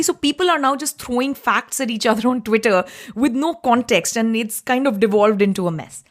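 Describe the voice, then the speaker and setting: Inquisitive woman host. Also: a little high. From India, grew up in Delhi. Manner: inquisitive, frequent tag questions "right?". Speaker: podcast host. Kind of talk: interview conversation.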